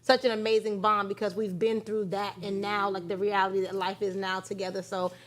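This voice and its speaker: A woman hosting a lively panel discussion in a folksy, conversational way with emphatic delivery. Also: medium pitch, fairly quick. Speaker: talk show host.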